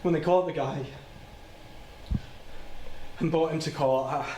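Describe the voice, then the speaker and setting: An actor playing an anguished, guilt-ridden working-class man in a halting, plain-spoken theatrical monologue. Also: fairly high pitch, steady tone, mellow, close to an everyday voice. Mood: guilty, bitter. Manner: halting, plain-spoken, repeats and stumbles over words. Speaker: stage actor. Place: indoor soundstage. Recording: roomy, noisy soundstage livestream.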